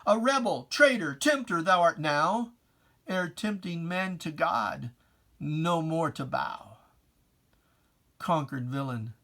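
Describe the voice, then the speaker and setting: A man's voice: high-pitched, a bit bright. A man giving a dramatic reading of religious verse in an emphatic, declamatory, theatrical manner. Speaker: poetry reader.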